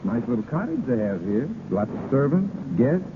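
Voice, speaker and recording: A man acting a breezy, romantic rancher in a 1930s radio drama. His delivery is wry and teasing at a medium pitch, heard through a tinny, slightly noisy old broadcast. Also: very animated, normal speed, lightly acted. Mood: playful banter. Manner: wry, bantering. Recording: scratchy narrowband 1930s radio recording.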